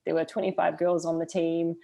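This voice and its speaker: A woman's voice: on the low side, flat delivery, brisk, creaky, mellow. A young adult woman with a New Zealand accent, chatting casually as an interview guest, with frequent ums and uhs. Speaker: guest, trail runner.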